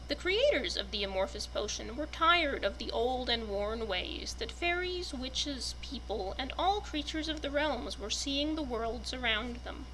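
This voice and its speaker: A woman giving a deliberate, storybook-like dramatic reading of a written fantasy text. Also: high-pitched, sharp tone, slight background noise, rough recording. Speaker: reader presenting a fantasy book.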